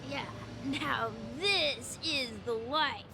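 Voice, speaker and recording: A man playing a dreamy, deluded reveler in a video game, with a drawn-out, woozy delivery. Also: very high voice, gravelly, background noise, exaggerated voice. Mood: blissful escapism. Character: dreamy, escapist male reveler, homesick but blissfully deluded. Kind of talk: video game cutscene acting. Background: loud music.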